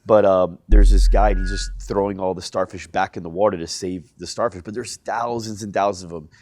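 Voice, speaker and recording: A man hosting a casual interview, mostly giving short agreeing replies and relaxed storytelling. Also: low-pitched, fairly quick, slight background noise. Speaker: podcast host.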